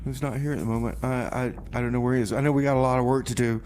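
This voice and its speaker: A man presents a community radio music show in a mid-range voice. His delivery is casual and a little halting, with repeated words and comic banter, over some background noise. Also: mid-range voice, little pitch movement, darkish tone.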